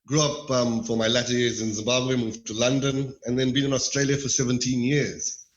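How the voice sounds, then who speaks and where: Middle-aged man, from Malawi, interview guest, measured, hedging asides, middle pitch, roomy echo. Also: level tone, dull audio. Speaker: podcast guest. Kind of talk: casual interview chat.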